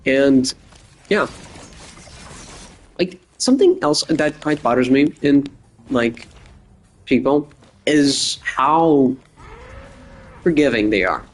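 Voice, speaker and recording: A man's voice: medium pitch, slow pace, long pauses. Rambling young man with faint Boston accent. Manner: rambling, crude, joking, deadpan asides. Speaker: podcast host. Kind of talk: casual solo podcast monologue.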